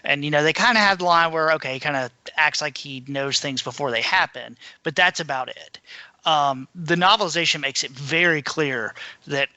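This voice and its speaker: Male co-host, higher-pitched and very bright, sharp delivery, close dry sound, conversational. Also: moderate pace, no echo. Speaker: podcast co-host. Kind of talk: casual discussion of books.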